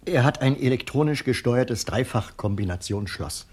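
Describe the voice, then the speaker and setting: An actor playing a reserved insider in a radio crime drama, speaking in a terse, precise, matter-of-fact way with a husky voice. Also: male voice, medium pitch, animated, moderate pace, a little mellow. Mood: factual, explaining.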